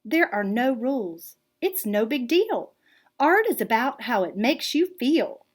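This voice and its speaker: Woman reading as an easygoing groovy cartoon cat, lively-pitched. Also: unhurried. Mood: reassured.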